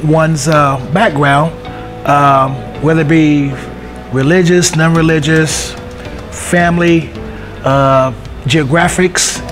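A middle-aged man gives hesitant, thoughtful interview answers in a fairly high voice. Guitar music plays clearly behind him. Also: steady tone, takes it slowly. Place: indoor room at school.

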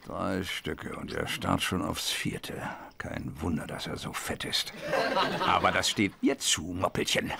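An actor playing a scheming, mocking old man in a dubbed sitcom, gravelly and muttering with a sneer. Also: low-pitched, steady tone, measured pace, heavy background noise, full character voice.

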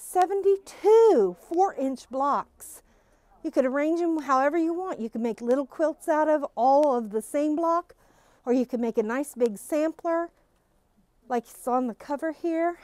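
A woman's voice: sits high, animated, measured pace, a little mellow, no echo. A middle-aged woman giving a casual, gushing tour of new stock in a quilt shop, talking through a face mask to a phone camera. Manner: bubbly, gushing enthusiasm. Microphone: phone camera following her, wearing a face mask.

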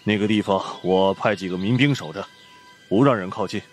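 A man's voice: low-pitched, brisk, soft background music, voice pushed up, lightly acted. A man voicing a practical, folksy, concerned village chief in an audiobook. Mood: decisive.

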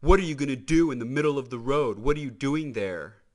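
A man's voice: medium pitch, animated, fairly quick, darkish tone, voice pushed up, close to an everyday voice. A man voicing a cocky young hot-rod race car from a children's storybook, brash and emphatic.

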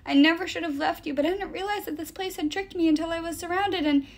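An anxious teenage boy hero from an audiobook, acted by a woman. He speaks in a high, pleading voice. He sounds impulsive and full of remorse. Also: big pitch swings, fast, darkish tone. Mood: pleading, distraught.

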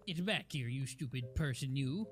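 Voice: medium pitch, animated, normal speed, breathy edge. Someone playing a defiant young defender of a base in a Minecraft roleplay, indignant and exclaiming. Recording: music behind the voice.

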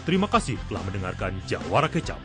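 A man's voice: fairly low pitch, big pitch swings, very fast, over music. A man delivering scripted teaser narration as the narrator of a radio drama.

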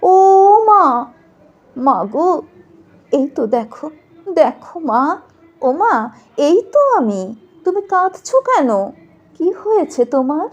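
Woman voicing a grieving young woman's ghost, slow, high, tearful, pleading. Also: big pitch swings, full character voice. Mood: bewildered grief. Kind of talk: dramatic recitation.

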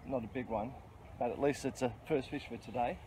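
A man's voice: mid-range voice, brisk, a little mellow. A middle-aged man, chatting casually and explaining his fishing as he goes, with frequent ums and restarts, filmed on a riverbank by a bridge. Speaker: fishing vlogger. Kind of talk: casual outdoor fishing commentary. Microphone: handheld camera close-up. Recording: noisy outdoor riverside recording.

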